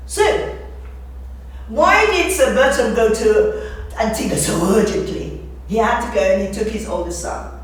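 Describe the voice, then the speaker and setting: A very reverberant, distant recording with faint noise carries a woman's somewhat high voice. She speaks as if giving an informal talk, conversational yet emphatic, often repeating a phrase or posing a rhetorical question. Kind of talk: informal lecture with readings from a novel. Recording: very echoey room, distant mic, faint noise.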